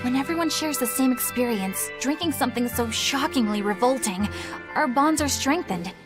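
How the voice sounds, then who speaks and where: A principled, easily exasperated young fighter in a game drama. Her high voice rises and falls a lot with prim, formal diction, over music. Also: lively intonation, normal speed. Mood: explaining, proper. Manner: proper, formal, indignant. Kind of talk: English video game voice acting.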